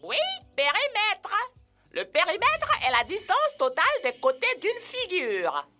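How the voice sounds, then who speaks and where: A woman's voice: very high voice, a little slow, soft background music, heavily acted. A woman voicing a keen, knowing young child who explains things in an educational cartoon. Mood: earnest, explaining. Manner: animated, explanatory. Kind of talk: cartoon voice acting in French.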